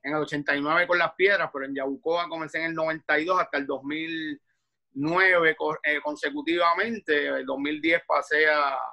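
A middle-aged man with a Puerto Rican accent, reminiscing haltingly and repeating words, on dull video call audio. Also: a little high, gravelly, bright, forceful tone. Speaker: retired baseball player, interview guest.

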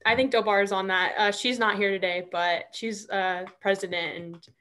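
A woman's voice: mid-range voice, fairly even intonation, normal speed, a bit bright. A young woman from Arizona speaking on a video call, shy, giggly and hesitant. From Arizona, USA. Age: young adult. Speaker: student club vice president. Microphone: laptop webcam on video call.

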